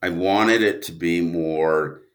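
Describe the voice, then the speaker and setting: A man talking casually as a podcast guest, an animated storyteller who rambles and interrupts himself. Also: low-pitched, slow. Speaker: guest, audio drama writer and producer.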